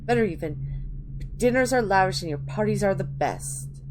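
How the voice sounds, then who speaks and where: A woman's voice: mid-range voice, animated, normal speed, close to an everyday voice. A woman acting a creepy, rambling old lady. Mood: wistful, boastful. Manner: cloying, calls listener dearie. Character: rambling, sinister old woman reminiscing about grander days. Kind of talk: dramatic reading of a game journal. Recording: clearly noisy home recording.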